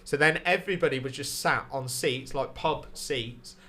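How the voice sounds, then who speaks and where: A young man from Wales with a southern English accent, telling sweary, animated stand-up stories on a casual podcast. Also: mid-range voice, moderate pace. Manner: sweary, animated anecdotes with acted-out quotes. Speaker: stand-up comedian guest.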